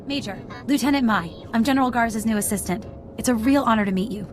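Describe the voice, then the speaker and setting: A woman's voice: fairly high pitch, fast, lightly acted. A woman playing an eager, nervous young military assistant, deferential, in a video game. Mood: eager, flustered. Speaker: lieutenant, general's assistant. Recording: music behind the voice.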